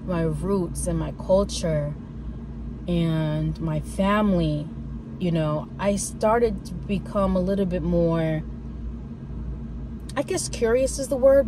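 Woman musing to camera in parked car. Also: on the low side. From United States. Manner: reflective, many fillers and restarts. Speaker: vlogger. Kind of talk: casual personal talk to camera. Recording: car interior with clear road noise.

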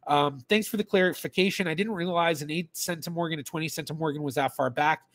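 A man's voice: fairly high pitch, fast talker, fairly bright tone. A man in his thirties, a genealogist, chatting casually on a livestream from a small room at home, often thinking aloud with restarts and fillers. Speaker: genealogist streamer. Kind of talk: casual livestream chat reading questions.

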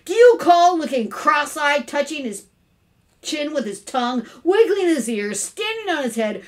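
A woman's voice: high-pitched, fairly bright tone, pitched above the performer's own voice, exaggerated voice. A woman voicing an angry, grumpy father in a children's storybook, emphatic and indignant. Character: angry, exasperated grumpy father, adult man. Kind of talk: children's storybook read-aloud.

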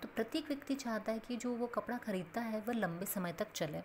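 A woman teaching a home science lesson, explaining step by step in a steady, patient way while mixing in English terms. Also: on the high side, a little fast, slight background noise. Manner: steady explanatory, repeats points in plain steps, mixes English terms. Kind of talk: explaining a textbook page as a lesson.

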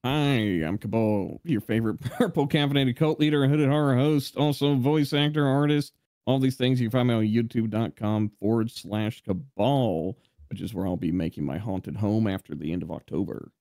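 A man's voice: mid-range voice, normal speed, dark tone, no room sound, clean studio sound. A man chatting casually and jokingly as a player on a tabletop game stream. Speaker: player, horror host and voice actor.

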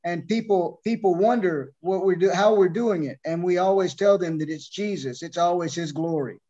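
A middle-aged man with a notably high voice talks about his mission work, restarting his sentences often. The webcam audio is muffled and lo-fi. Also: normal speed, dark tone. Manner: devout, hesitant speech with many restarts. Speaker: missionary, orphanage founder. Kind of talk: interview on a video call. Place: home room, beside his wife. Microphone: video call webcam. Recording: muffled lo-fi video call audio.

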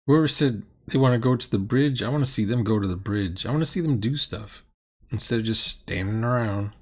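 A man's voice: mid-range voice, fairly quick, slightly rough. A middle-aged man giving mocking, off-the-cuff reaction commentary in a sarcastic, grumbling manner. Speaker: commentator reacting to a recorded tabletop game.

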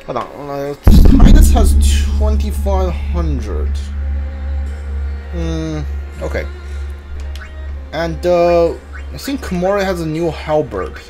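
A man gives casual commentary while gaming. He goes slowly in short, muttered phrases, yet his pitch moves around a lot. Game music plays clearly behind him. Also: sing-song. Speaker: video game streamer.

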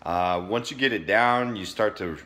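A man's voice: on the low side, moderate pace, fairly bright tone, a little hiss or hum. A man in his thirties giving a casual, informal how-to talk to a phone in a home kitchen, plain-spoken like a fitness vlogger. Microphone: phone at arm's length.

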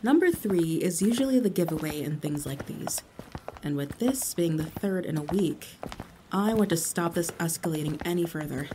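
A woman's voice: fairly low pitch, expressive, moderate pace, noisy background, natural voice. A woman acting a suspicious, wry police investigator in an audio drama, matter-of-fact and dry. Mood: businesslike.

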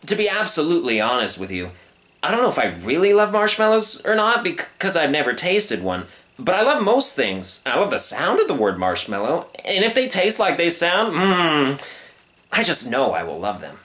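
Casting: man to play a naive young lion for a storybook, friendly and questioning, voice lifted high. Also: huge pitch swings, moderate pace, a bit bright. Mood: friendly, earnest. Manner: innocent, earnest, questioning.

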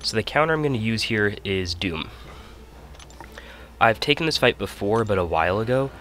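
A man's voice: lowish voice, moderate pace, slight background noise. A young man with a stuffy nose giving casual, rambling commentary over his own gameplay. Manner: relaxed, rambling, self-correcting. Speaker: gaming channel host. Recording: voice-over with loud game music behind.

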